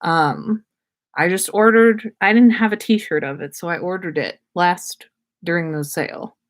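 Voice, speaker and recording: A woman's voice: on the low side, unhurried. A woman hosting a livestream in casual chat, rambling and effusive, with slangy talk and free swearing. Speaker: podcast host.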